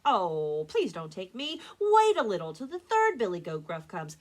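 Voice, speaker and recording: A woman voicing a middle-sized billy goat in a storybook read-aloud, speaking up loudly in a pleading, nervous way. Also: medium pitch, huge pitch swings, moderate pace. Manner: loud, pleading.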